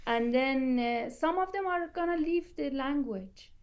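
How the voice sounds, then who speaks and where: A woman scholar whose first language is Persian, speaking in a measured way and explaining her view through an extended comparison in a roundtable discussion. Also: sits high. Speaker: scholar guest.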